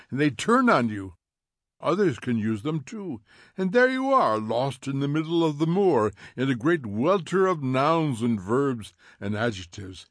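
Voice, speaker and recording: A man's voice: on the high side, a bit bright, no echo, studio quality, voice pushed up, heavily acted. A man doing a smug, taunting fire captain. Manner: taunting, theatrical, fast mocking bursts. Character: smug, needling middle-aged male fire captain.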